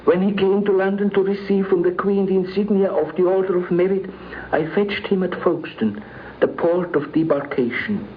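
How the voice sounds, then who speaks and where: A man's voice: sits high, fairly even intonation, normal speed. A man giving a prepared first-person account of his memories on a 1950s radio program. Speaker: guest recounting memories. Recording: dull, noisy 1950s radio recording.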